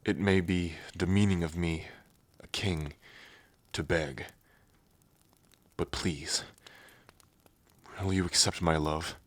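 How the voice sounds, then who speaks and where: A man voicing a young dragon heir confessing his love in an audio roleplay, earnest and soft. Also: deep voice, steady tone, takes it slowly, pauses often, no room sound. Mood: tender. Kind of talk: ASMR roleplay.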